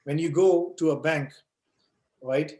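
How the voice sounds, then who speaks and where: A man's voice: fairly high pitch, takes it slowly, a little mellow. A man running an online course session, explaining ideas with everyday analogies and checking in with "right?" and "you know". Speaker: online course instructor answering questions. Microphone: video call. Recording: echoey room.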